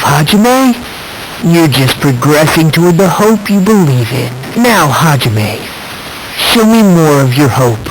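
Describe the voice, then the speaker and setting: A man's voice: sits high, a little hiss or hum, low-quality recording. A man voicing a polite, unsettling teenage boy in a video game, with theatrical, needling questions. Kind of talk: English video game voice acting.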